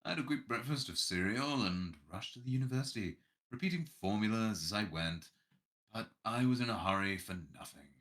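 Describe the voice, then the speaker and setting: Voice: mid-range voice, lively intonation, brisk, a bit bright. A streamer reading a visual novel's narration aloud in a dramatic way.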